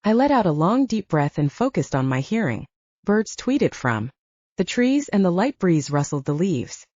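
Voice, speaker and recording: A woman's voice: fairly low pitch, big pitch swings, normal speed, darkish tone, dry recording, dull audio. A woman narrating scripted first-person fiction as an audiobook reader.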